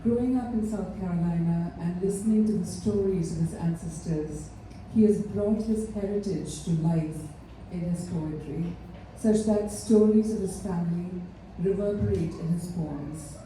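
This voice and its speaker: A woman from India giving an earnest, measured tribute speech, pausing often with fillers. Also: on the low side, warm tone. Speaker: ceremony speaker. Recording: echoey hall, mic far off, faint noise.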